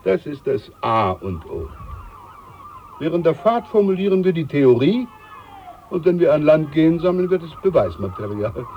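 An actor playing a boastful, condescending older ship's doctor in a radio play. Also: male voice, on the high side, big pitch swings, moderate pace, muffled recording, a little hiss or hum. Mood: pompous. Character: pompous older ship's doctor, self-important.

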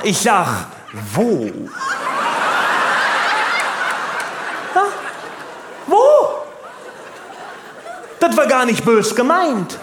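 A man's voice: high-pitched, fairly bright tone, full character voice. A man performing stand-up as a chatty, naive, grumbling working-class family man, rambling and exaggerating in a folksy way. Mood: wry storytelling. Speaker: stand-up comedian. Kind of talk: stand-up comedy monologue to live audience. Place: stage in a live comedy venue. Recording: roomy live hall, faint background noise.

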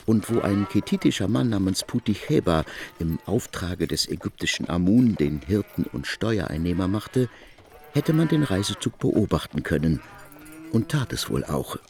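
A man narrating a literary work for radio in a measured, formal storytelling manner. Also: sits low, sing-song, creaky, a little mellow. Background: faint music.

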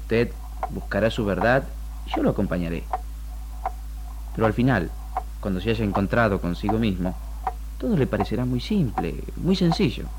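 A man with a Rioplatense accent playing a calm, firm doctor in a 1960s radio drama, speaking in a solemn, measured, counselling way. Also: fairly low pitch, background noise. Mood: solemn, reassuring. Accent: Rioplatense Argentine. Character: calm, firm male doctor guiding a troubled patient. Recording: old radio archive tape with music.

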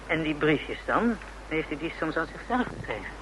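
Higher-pitched sceptical man. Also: sing-song, moderate pace. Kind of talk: radio crime drama acting. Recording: faintly noisy old radio recording.

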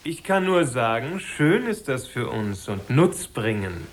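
An actor playing a smug, crooked councillor to a Tsar in a children's radio play, mannered and unctuous. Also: male voice, mid-range voice, sing-song, takes it slowly. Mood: smug, accusing.

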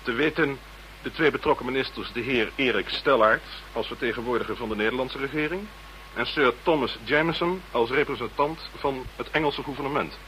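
Pompous British minister, male actor: grave, polite, official, crisp-edged mid-range voice for radio drama. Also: medium pitch, fairly quick, bright, forceful tone, slight background noise, natural voice, rough recording. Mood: grave, courteous. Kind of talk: radio play acting.

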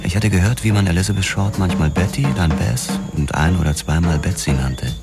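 An actor playing a hard-boiled 1940s police detective, narrating a noir radio drama in a terse, dramatic way. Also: male voice, deep voice, a little fast. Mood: grim, terse. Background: loud music.

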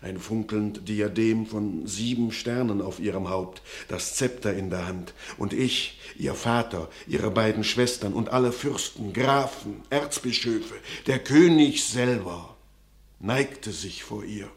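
A man's voice: fairly low pitch, slow. A grave, foreboding old father. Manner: grave, solemn, warning. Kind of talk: classic radio drama verse acting.

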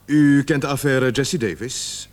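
An actor playing a measured, insinuating police sergeant in a radio drama, formal and deliberate as he questions a suspect. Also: mid-range voice, natural voice. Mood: probing, insinuating. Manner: formal, deliberate, falsely soothing. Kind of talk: Dutch-language radio drama acting.

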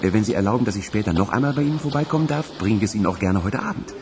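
A man's voice: lowish voice, animated, racing, noisy background, natural voice. An actor playing a pushy, nervous young man in a radio crime drama, eager and stammering.

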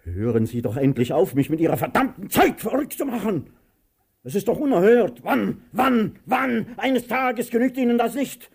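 Husky, wildly swinging blind tyrant. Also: male voice, sits high, very animated, normal speed, a little mellow, heavily acted. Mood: imperious, furious. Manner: imperious, given to barked commands and outbursts. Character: blind, pompous, helpless tyrannical master, male. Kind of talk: theatrical radio drama acting.

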